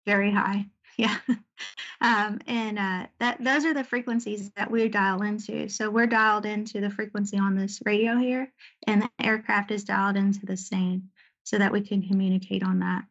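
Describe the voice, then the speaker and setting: A woman giving an informal slide presentation about her service as a Navy air traffic controller, talking conversationally with searching pauses. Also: medium pitch, fairly even intonation, a bit bright, muffled recording. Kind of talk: informal slide presentation recounting deployment stories.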